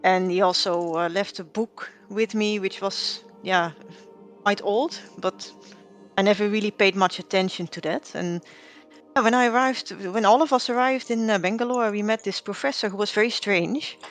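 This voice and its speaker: A woman's voice: medium pitch, moderate pace, soft background music. A woman telling her roleplay character's backstory, rambling with fillers and restarts. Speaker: roleplay player.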